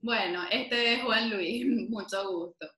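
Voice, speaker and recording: A woman with a bright, forward voice hosts an interview, asking in a lively, familiar way with plenty of fillers. Her voice carries a roomy echo. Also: fairly high pitch, takes it slowly. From Venezuela. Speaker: interviewer, host. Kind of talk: conversational interview.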